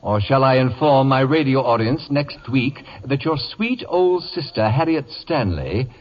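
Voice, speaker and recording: A muffled old radio broadcast of an imperious, middle-aged wit. His slightly husky voice swoops theatrically through pompous, mock-courtly sarcasm and gleeful scheming. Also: medium pitch, animated, a little slow. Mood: smug menace. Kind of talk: 1940s radio comedy acting.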